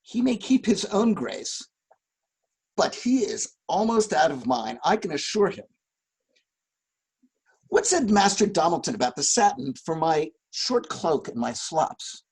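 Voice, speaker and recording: A man plays Falstaff, a fat, boastful old knight, in a slow, husky voice that swoops high and low. He blusters through his lines with stagey relish, sounding like someone on a video call. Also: big pitch swings, exaggerated voice, poor audio. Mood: blustering. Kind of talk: live-streamed Shakespeare scene reading.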